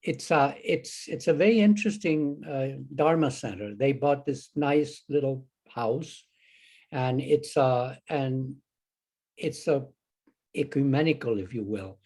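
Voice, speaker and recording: An older man from Chile with a Chilean Spanish accent, a Zen teacher talking as an interview guest, halting with many restarts and fillers. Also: medium pitch, darkish tone. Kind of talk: casual interview conversation.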